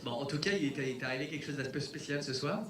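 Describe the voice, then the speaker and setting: A man's voice: mid-range voice, racing. A man presenting a film premiere on stage, joking playfully with the audience. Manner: playful, lively, feigning surprise. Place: cinema theater in front of an audience. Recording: echoey cinema hall, distant mic, crowd.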